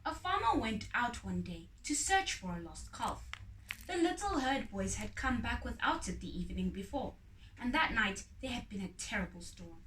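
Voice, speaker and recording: A woman reading a children's story aloud in an expressive, dramatic way. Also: a little high, normal speed. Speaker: storybook reader. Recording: roomy echo, distant mic, faint noise.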